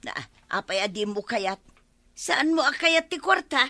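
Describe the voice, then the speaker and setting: A woman's voice: high-pitched, expressive, a little slow, sharp tone, exaggerated voice. A woman playing a pushy, practical driver's wife in an Ilocano comedy radio drama, nagging and questioning.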